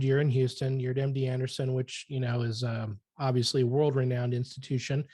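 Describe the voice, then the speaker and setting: A man's voice: mid-range voice, darkish tone, no room sound. A man hosting a podcast interview in a friendly, self-deprecating way. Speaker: podcast host.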